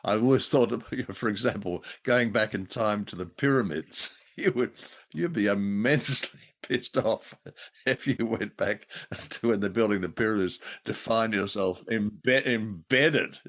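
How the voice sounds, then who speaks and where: A man's voice: lowish voice, measured pace, a bit bright. A man co-hosting a casual review chat, speaking in a wry, wordy way. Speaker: podcast co-host.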